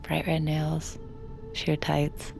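A low, creaky, wistful young woman. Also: completely flat delivery, very slow, music in the background, full character voice. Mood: wistful, smitten. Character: Sid, guilt-ridden young woman, wistful, smitten mind reader. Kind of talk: intimate audio drama acting with hushed inner monologue.

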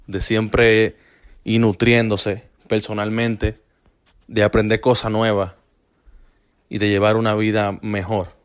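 A man from the Republic, hosting a casual motivational fitness podcast, talking loosely, repeating himself and dropping in English fillers. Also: on the low side. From Dominican Republic. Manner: loose and repetitive, restarting phrases, mixing in English fillers.

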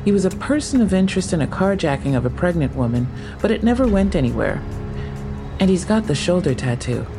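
An actress playing a matter-of-fact police officer briefing a colleague in an audio drama. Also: female voice, fairly low pitch, big pitch swings, fairly quick, music in the background. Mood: intent, factual.

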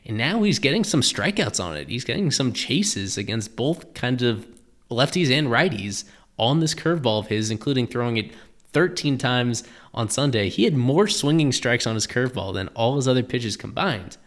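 A man giving analytical commentary on a baseball pitcher, thoughtful and explanatory, often restarting his phrases. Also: expressive, moderate pace.

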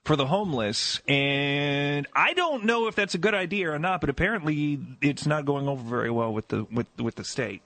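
Man, bright tense voice, hesitant, offhand, slightly off mic. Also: fairly high pitch, animated, moderate pace, hardly any pauses, no room sound. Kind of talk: casual chat.

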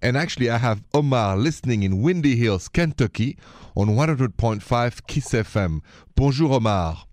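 A man's voice: mid-range voice, lively intonation, normal speed, a little mellow, no room sound, studio quality. A man from Paris with a French accent, hosting a call-in radio show and giving relationship advice in a conversational, direct way. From Paris, France.

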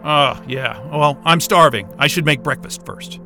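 A man's voice: on the high side, a little slow, pushed and bright, faint music behind. A man playing an irritable, foul-mouthed astrophysicist at a polar station in a naturalistic audio drama, with a blunt, sarcastic, agitated manner. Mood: skeptical, sullen.

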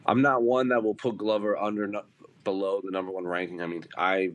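A man's voice: lowish voice, level tone, a little fast, fairly bright tone. A man giving his opinion as a panelist in a casual sports discussion. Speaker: panelist on an MMA preview show.

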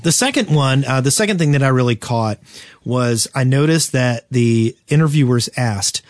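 A man with a mid-pitched voice talks casually as a podcast co-host. He is conversational and opinionated, feeling his way through sentences in a halting manner. The sound is close and dry. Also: expressive, dry recording. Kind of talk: casual chat.

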